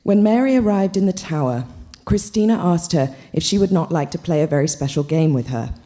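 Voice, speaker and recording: A woman's voice: fairly low pitch, animated, fairly quick, dark tone. A woman reading fiction and literary commentary aloud as narration at a literary event.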